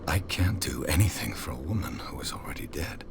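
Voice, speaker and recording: Casting: terse, jaded private eye, extremely low register, video game drama, faint noise behind. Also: male voice, animated, fairly quick, creaky, exaggerated voice. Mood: curt, cynical. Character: jaded male private detective, curt and world-weary.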